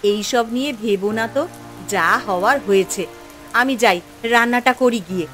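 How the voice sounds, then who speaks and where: A woman's voice: medium pitch, moderate pace, fairly bright tone, soft background music, close to an everyday voice. A woman voicing a worried, dutiful poor village wife and mother in a cartoon, speaking in a plaintive, homely way. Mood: resigned. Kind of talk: Bengali fairy-tale cartoon voice acting.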